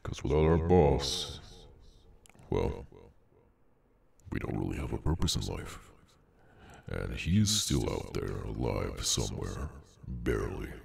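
A man voicing a cursed, inhuman henchman in an ASMR roleplay, bored, sardonic and menacing, with an unhurried dry delivery. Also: very animated, a little mellow, far from the mic, heavily acted. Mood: calm menace. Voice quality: very deep, gravelly. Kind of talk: ASMR audio roleplay, slow dramatic monologue.

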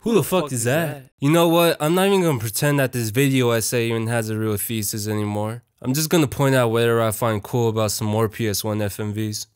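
A man's voice: mid-range voice, clean tone, no echo, studio quality. A young man narrating a casual, slangy video essay in an irreverent, conversational, profane way.

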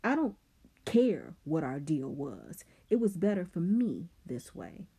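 A woman's voice: low-pitched, sing-song, slow, gravelly, mellow, husky, full character voice. A woman voicing a clever, scheming teenage boy who talks back coldly. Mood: defiant.

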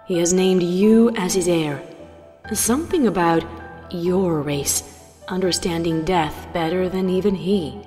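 A woman voicing a solemn, regal pony ruler, making slow formal pronouncements in an echoing audio story. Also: fairly low pitch, warm tone, faint music behind, natural voice. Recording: long echoing reverb.

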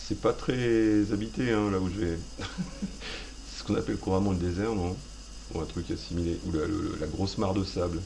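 A man with a deep, low voice talks over a game he is playing. His commentary is rambling and self-mocking, full of playful asides. Game sounds run clearly underneath. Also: fairly even intonation, a little fast, darkish tone, slight background noise. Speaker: gaming video host. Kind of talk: joking let's play commentary.

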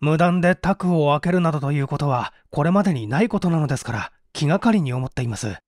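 A man voicing a courteous, earnest, worried young gentleman in an audiobook reading. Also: fairly high pitch, lively intonation, fast, no echo, clean studio sound, natural voice. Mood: concerned. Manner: polite and earnest. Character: earnest, courteous young master, worried about his father. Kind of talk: audiobook character voice.

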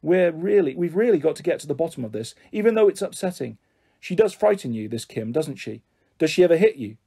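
A man reads a protective father in an audiobook. The voice is soft-toned and husky. He is soothing, coaxing and gently persistent with his questions. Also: a little high, big pitch swings, fairly quick, exaggerated voice. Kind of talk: audiobook character voice.

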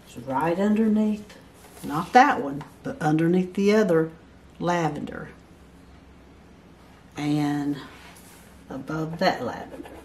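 A woman's voice: low voice, takes it slowly, pauses often, a little mellow, a little hiss or hum. A woman giving a step-by-step barn quilt pattern tutorial at a table, patiently counting blocks aloud. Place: at a table over a paper pattern, indoors.

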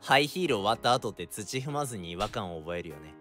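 A wild young dating-sim guy with a bright, forceful voice. He talks fast and bluntly, in an excitable way. Music plays behind him. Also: lowish voice. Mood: offhand. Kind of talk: Japanese visual novel voice acting.

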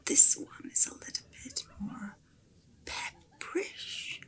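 Slow, whispering tavern hostess, acted by a woman. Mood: gently hospitable. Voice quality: breathy, often whispered. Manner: hushed, unhurried, attentive hostess talk. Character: Edna, attentive female innkeeper serving guests in fantasy tavern. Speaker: ASMR roleplay performer. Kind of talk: soft-spoken, whispered ASMR roleplay.

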